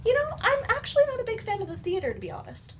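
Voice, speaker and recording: Woman acting a high-voiced, supportive therapist. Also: huge pitch swings, quick pace, heavily acted. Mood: professional, welcoming. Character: measured, supportive female doctor, a therapist. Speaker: actor in a staged play reading. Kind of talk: stage play reading. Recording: noisy room at live staged reading.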